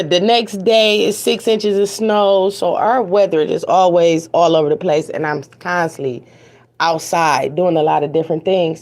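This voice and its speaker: A woman hosts her show from home, her voice hoarse and raspy from a cold and a stuffy nose. She talks loosely and warmly to her audience, repeating phrases as she goes. Her pitch sits in an ordinary middle range for a woman. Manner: chatty, repeats phrases, talks straight to viewers. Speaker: host of an online news talk show. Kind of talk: casual livestream chat. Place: home with TVs on in the background.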